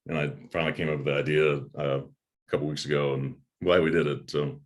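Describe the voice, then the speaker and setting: A man hosting a movie review chat in a casual, halting way, often repeating his words. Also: very deep voice, flat delivery. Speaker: host of a movie review channel. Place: home room with a desk, on a video call.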